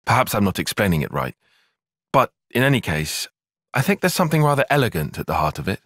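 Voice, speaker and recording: Precise, husky young soldier. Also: male voice, on the low side, very animated, quick pace, a bit bright, no echo, studio quality. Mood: composed, analytical. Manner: precise, formal, bookish phrasing. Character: analytical, earnest young male soldier and tactician. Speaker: video game voice actor. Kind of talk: dramatic game cutscene acting.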